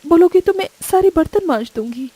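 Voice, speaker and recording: A woman playing a poor, desperate, loving mother in a melodramatic animated story, pleading and plaintive. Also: extremely high pitch, brisk, no echo. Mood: begging.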